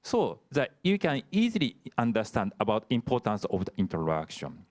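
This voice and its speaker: Halting lower-voiced male professor. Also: huge pitch swings, darkish tone. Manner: halting, frequent filler "the" and restarts. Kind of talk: answering questions in an online lecture.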